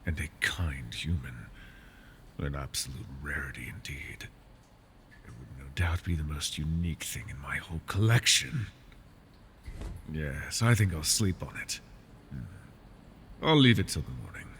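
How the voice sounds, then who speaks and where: A man voicing a possessive, scheming male dragon in an ASMR roleplay, teasing and drifting into soft whispers. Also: lively intonation, unhurried, pauses often, a little hiss or hum, exaggerated voice. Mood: teasing, menacing. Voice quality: gravelly, low.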